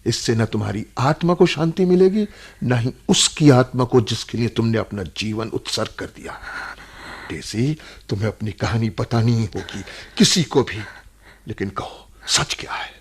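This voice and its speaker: An earnest, probing playwright in a radio play. His husky voice rises and falls widely as he questions and pleads with emotional insistence. He leans on dramatic pauses and repeated words. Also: mid-range voice, lively intonation. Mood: pleading. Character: earnest, probing male playwright visiting a prison.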